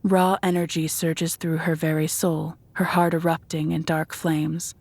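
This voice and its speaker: A woman's voice: low voice, flat delivery, unhurried, darkish tone, no echo. A woman reading scripted, dramatic narration for a horror audio drama.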